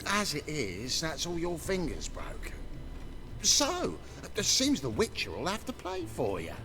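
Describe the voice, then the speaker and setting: A man's voice: fairly high pitch, huge pitch swings, a little slow, heavily acted. An actor playing a sneering male thug in a fantasy video game, theatrical and gleefully menacing, with a mocking sing-song delivery. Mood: taunting menace.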